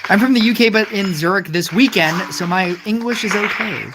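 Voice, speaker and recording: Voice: medium pitch, brisk. A mobile gaming streamer chatting casually while reading viewer messages, rambling with lots of "like" fillers and restarts, and adding wry, amused asides. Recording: noisy stream audio with clicks.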